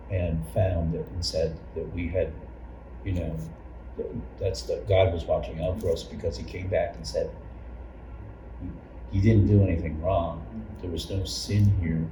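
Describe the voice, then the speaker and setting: A man recalling church life in a casual group interview, haltingly rephrasing as he goes. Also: deep voice, level tone. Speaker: church member recalling past challenges. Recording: echoey room, distant mic, clear noise.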